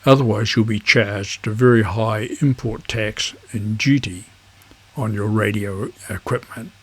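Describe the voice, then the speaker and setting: Husky low-voiced man, measured delivery. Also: fairly bright tone. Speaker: amateur radio video host. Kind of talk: instructional vlog narration.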